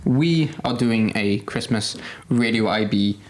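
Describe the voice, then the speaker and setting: A teenage male podcast co-host making a casual announcement in a halting, explanatory way. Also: on the low side, a little hiss or hum. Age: teens. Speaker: student podcast co-host and coordinator.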